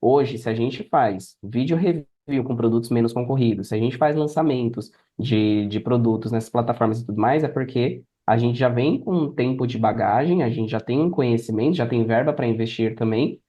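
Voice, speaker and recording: Brazilian man with a mellow voice. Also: mid-range voice, normal speed, few pauses. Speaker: podcast guest, affiliate marketer and mentor. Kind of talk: conversational interview explanation.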